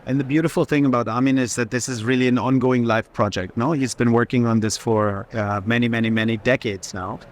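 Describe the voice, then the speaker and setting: A man's voice: mid-range voice, steady tone, a little fast, few pauses. A man in an unscripted walking conversation about art, often turning his statements into questions with a short tag at the end. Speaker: art institution director in conversation.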